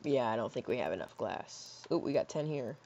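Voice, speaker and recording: A hoarse young man in his teens rambling casually while playing a building game, with lots of fillers and soft mutters. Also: medium pitch, lively intonation, a little fast. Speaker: gaming YouTuber. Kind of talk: casual gameplay commentary. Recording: slightly dull lo-fi mic.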